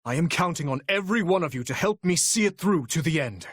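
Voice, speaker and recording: A man's voice: fairly high pitch, animated, slightly rough, no room sound, clean studio sound. A man voicing a noble, earnest young knight-commander in a video game, speaking formally and solemnly with resolve. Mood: rallying, urgent. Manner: formal, measured, earnest delivery. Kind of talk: dramatic video game voice acting.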